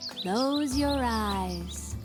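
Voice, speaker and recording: A woman voicing a female new-age therapist who gives slow, drawn-out calming instructions in a children's storybook. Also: fairly high pitch, music in the background. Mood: soothing, solemn.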